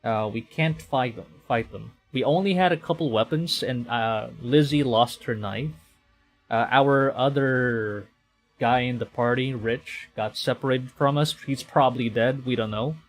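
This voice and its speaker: Excitable male game streamer over music. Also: mid-range voice, a little slow, poor audio. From Philippines. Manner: excitable, slipping between dramatic reading and chatty asides. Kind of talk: game livestream, reading visual novel aloud with casual commentary. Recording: music behind the voice.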